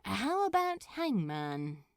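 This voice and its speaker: Woman as a cool, gravelly, drawling flirt. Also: big pitch swings, takes it slowly, no echo, studio quality. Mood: flirtatious. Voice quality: low drawl. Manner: languid, suggestive. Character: cool, flirtatious, teasing man, Crowley.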